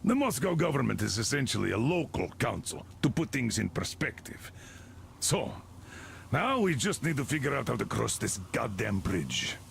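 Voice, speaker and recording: An actor playing a stern older military commander who briefs with brisk authority in a video game. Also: male voice, mid-range voice, big pitch swings, vocal fry, a little hiss or hum. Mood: resolute.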